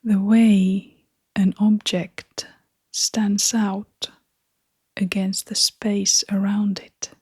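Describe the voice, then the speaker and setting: A woman leading a guided meditation in a gentle, hushed, breathy voice, leaving long pauses between short phrases. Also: mid-range voice, level tone, no room sound, studio quality. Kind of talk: guided meditation, soft-spoken.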